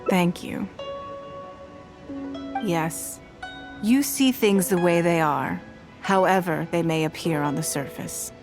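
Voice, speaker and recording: A wealthy, composed lady of high standing in a game scene. Her voice sits fairly low for a woman and her phrasing is formal and measured. She carries a quiet grief beneath her poise, with soft music playing behind her. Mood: candid, reflective. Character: composed, wealthy noblewoman leader, quietly grieving, confiding. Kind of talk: scripted video game dialogue.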